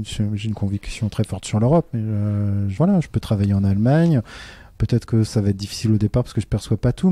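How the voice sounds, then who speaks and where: A man's voice: medium pitch, warm tone, no room sound. A man, a teacher, talking earnestly in a casual interview, with many fillers and restarts. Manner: earnest and reflective.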